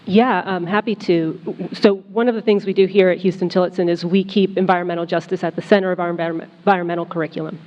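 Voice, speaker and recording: A woman, a college educator on a town hall panel, explaining clearly. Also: lowish voice, steady tone, fast, hardly any pauses, darkish tone, slight background noise. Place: town hall meeting room. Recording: dull lo-fi sound in reverberant hall.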